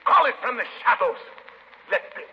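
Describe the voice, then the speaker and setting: A man playing an ageless, menacing voodoo high priest, pompous and incantatory in 1930s radio drama style. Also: natural voice. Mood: boastful chanting. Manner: incantatory, drawn-out, pompous, speaking in third person. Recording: muffled 1930s radio broadcast, long reverb.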